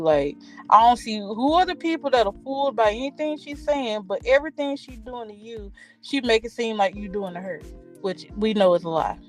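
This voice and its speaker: Higher-voiced woman hosting an interview. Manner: conversational, with frequent fillers. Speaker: interview host. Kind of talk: casual online interview.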